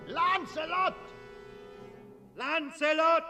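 Angry bossy dragon, radio drama, crazy high voice, big echo. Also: male voice, very slow, full character voice. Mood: enraged, searching. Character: enraged imperious dragon tyrant. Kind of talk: radio play acting. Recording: echoey hall, loud music behind.

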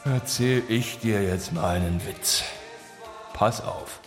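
Very slow, belligerent pub drunk. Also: male voice, on the low side, soft background music. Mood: boozy, insistent. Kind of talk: radio play dialogue.

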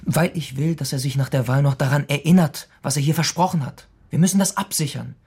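An actor playing a brash, stubborn young blackmailer in a radio crime drama, pushy, defiant and slangy. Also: male voice, fairly high pitch, fairly quick, creaky, a little mellow, husky, heavily acted. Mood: urgent, persuading. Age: young adult.

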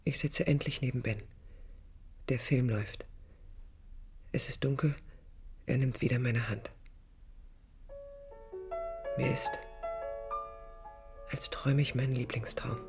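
Hushed, wistful young woman in love. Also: a bass voice, slow, pauses often. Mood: hushed longing. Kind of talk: 1980s radio play, intimate first-person narration. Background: music.

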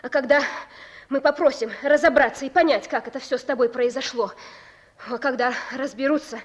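A high-voiced young woman in love, played by an actress in a Russian radio play. She is devoted and stubborn, and speaks in plain colloquial phrases that both plead and insist. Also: brisk, dull audio, natural voice. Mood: tender persuading. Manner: pleading yet resolute, colloquial. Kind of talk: Russian radio drama acting.

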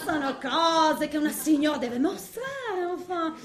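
A woman's voice: sits high, very animated, measured pace, crowd noise. A woman playing a vain, coquettish middle-aged lady in a comic stage monologue, affected and theatrical, with French interjections. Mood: confiding, fussy. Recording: roomy, echoing stage sound.

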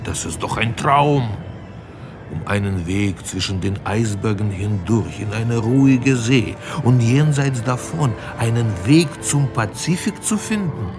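A man's voice: mid-range voice, expressive, a little slow, over music. An actor playing a mocking ship's chandler in a radio drama, theatrical and drawing out his exclamations. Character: scoffing, laughing male ship's chandler, doubtful of explorers.

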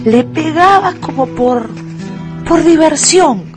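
A woman narrating a dramatised radio story in an expressive, deliberate, emphatic way. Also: sits high, music in the background.

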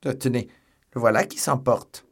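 A man's voice: medium pitch, normal speed, slightly rough, voice pushed up. A man voicing an anxious, servile innkeeper in a plaintive, exclamatory way, as in an audiobook. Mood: piteous, agitated. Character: piteous, complaining male innkeeper, anxious and servile.

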